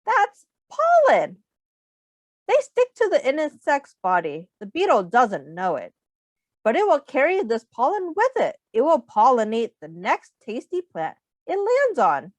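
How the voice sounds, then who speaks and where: A woman with a high, hugely varied voice reads aloud to young children. She teaches as she goes, taking her time, explaining in simple terms and putting questions to the listeners. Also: measured pace, no room sound. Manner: instructive, expressive, addressing young children with questions.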